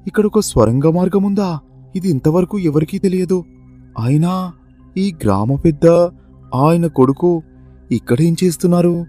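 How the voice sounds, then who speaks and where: An actor playing a poor, honest, wronged village farmer in a cartoon moral story, speaking in an emotional, exclamatory way. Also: male voice, on the high side, normal speed, pauses often, dark tone, soft background music, close to an everyday voice. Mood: puzzled, wondering.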